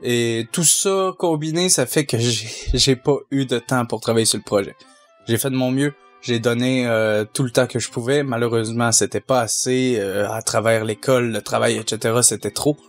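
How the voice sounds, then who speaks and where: A young man with a Québécois French accent, a sound mixer answering fan questions, measured and hesitant with many fillers. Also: medium pitch, soft background music. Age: young adult. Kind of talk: casual Q&A answers.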